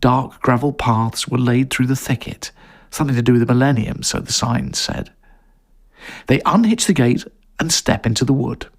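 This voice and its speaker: A man's voice: mid-range voice, normal speed, gravelly, husky. A man reading a scripted audio story as narrator.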